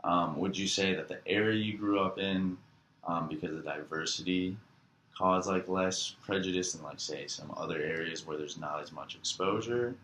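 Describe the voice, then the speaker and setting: A man's voice: low-pitched, normal speed, a bit bright. A man interviewing, asking long, rambling questions full of "um" and "like". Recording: echoey room with faint hiss.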